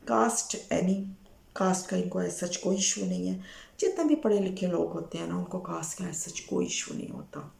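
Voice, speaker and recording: A Pakistani woman with a slightly hoarse, sore throat, presenting a profile informally to viewers as a matchmaker and mixing in English words. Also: on the low side, steady tone, normal speed, darkish tone, reverberant. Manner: Urdu mixed with frequent English words.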